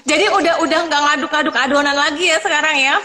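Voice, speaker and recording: A woman's voice: sits high, steady tone, fast, sharp tone. A woman hosting a video-call interview as a business coach, enthusiastic and full of exclamations. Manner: enthusiastic, repeats Masya Allah.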